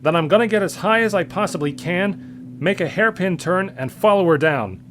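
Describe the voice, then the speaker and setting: Guy doing a brave young rescue pilot, urgent, pitched up, engine noise behind, audio story. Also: lively intonation, moderate pace, fairly bright tone. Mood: determined. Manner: urgent, determined. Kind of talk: audio story character acting.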